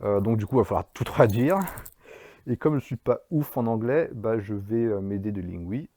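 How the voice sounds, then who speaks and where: Chatty man with a low mellow voice. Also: moderate pace. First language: French. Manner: chatty, many fillers and restarts. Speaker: indie game developer. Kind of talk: casual devlog narration.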